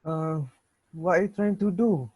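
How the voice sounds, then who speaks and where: Give me a man as a slow, doubtful student. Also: high-pitched, fairly even intonation, dark tone, slightly rough, no room sound, highs cut off. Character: doubtful, nervous young male student. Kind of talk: amateur dramatic reading.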